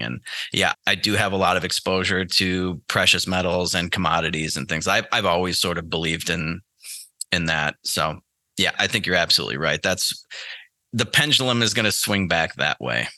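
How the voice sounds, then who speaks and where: A man's voice: deep voice, bright, forceful tone, no room sound. An American man answering as a podcast guest in a conversational, loosely hedged way. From United States. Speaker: podcast guest, trading educator.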